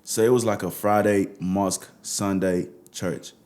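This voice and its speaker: A man's voice: low voice, steady tone, a little slow, a little mellow. A young Nigerian man with a Nigerian accent, talking casually as a musician guest in an interview.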